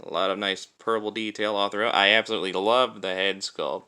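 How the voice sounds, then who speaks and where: A man's voice: lowish voice, steady tone, fairly quick, pushed and bright, clean tone. A man talking informally through a hands-on toy review, explaining things step by step. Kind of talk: casual hands-on product review.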